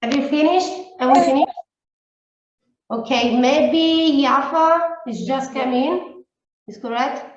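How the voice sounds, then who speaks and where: Very echoey, slow, higher-voiced woman facilitator. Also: faint music behind. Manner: non-native English phrasing. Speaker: meeting facilitator. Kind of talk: online workshop facilitation, explaining steps. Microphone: video call. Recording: very echoey, dull online call audio.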